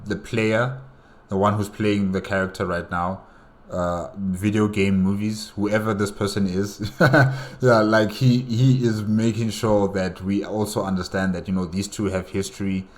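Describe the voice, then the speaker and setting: Man, reaction host, very deep voice, musing, hesitant, casual. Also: flat delivery, measured pace.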